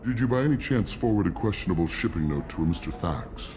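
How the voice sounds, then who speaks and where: Very deep, courteous go-between. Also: male voice, expressive, quick pace. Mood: polite, discreet. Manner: formal, courteous. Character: polite, businesslike male go-between for a boss. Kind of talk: video game dialogue. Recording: clear ambient background noise.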